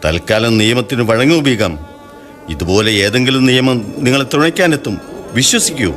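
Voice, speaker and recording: A man playing a government official in a radio drama, formal and measured, dropping English apologies. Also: mid-range voice, expressive, fairly bright tone, soft background music, lightly acted. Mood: apologetic. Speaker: official from the high commission.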